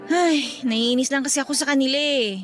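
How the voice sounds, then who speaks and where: A woman's voice: sits high, moderate pace, soft background music, natural voice. A woman playing a withdrawn, defensive young daughter in a Tagalog radio drama, with a sulky manner. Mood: hurt, annoyed.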